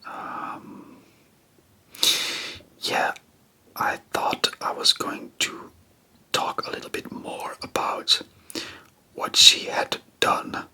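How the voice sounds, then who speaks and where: A non-native English speaker hosting ASMR, chatting informally about history in a soft, breathy whisper with a rambling, hesitant manner. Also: drawn-out words, pauses often. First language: not English. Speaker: ASMR video creator and host.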